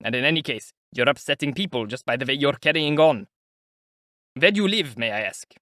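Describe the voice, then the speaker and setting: A man's voice: medium pitch, lively intonation, brisk, bright, forceful tone, no room sound, studio quality. A man voicing a stern police officer ordering a woman about in an audiobook. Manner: stern, bossy.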